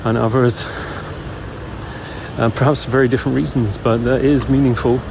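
A middle-aged man leaving a casual, halting voice message as a podcast caller, out in a city. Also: medium pitch, little pitch movement, gravelly. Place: outdoors in a city, on the way to work. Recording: loud street and traffic noise. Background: vehicles and urban noise.

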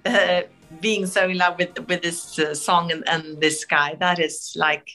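Swedish woman, middle-aged, chatty and animated, bright forceful voice, lots of ums. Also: fairly low pitch, a little slow, faint music behind. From Sweden. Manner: animated, laughing, many fillers and restarts. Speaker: TV host, interview guest. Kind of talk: casual interview, anecdotes.

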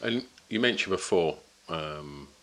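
A man interviewing a guest in a relaxed, casual way, cheering him on with frequent short replies and swearing now and then. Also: deep voice, measured pace.

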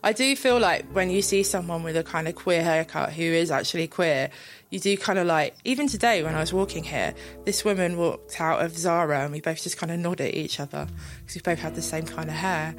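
Giggly young woman, lower voice. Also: fairly quick, faint music behind. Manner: chatty, giggly, lots of likes. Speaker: club night co-founder, interviewee. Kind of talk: casual chat.

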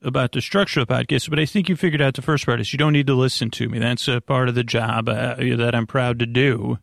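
A man with a creaky, gravelly voice, hosting a podcast in a meandering, halting bedtime monologue that keeps interrupting itself. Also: medium pitch, fairly even intonation, no echo, clean studio sound. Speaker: podcast host. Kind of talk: rambling bedtime monologue to help listeners sleep.

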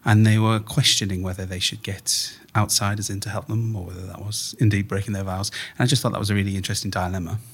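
A man's voice: lowish voice, flat delivery, fast, few pauses, husky. A man, a playwright in an interview, explaining things in a conversational storytelling way.

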